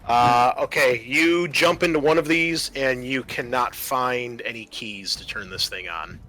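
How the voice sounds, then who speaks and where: Man with a bright voice game-mastering. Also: medium pitch, a little slow. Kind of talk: running a tabletop roleplaying game.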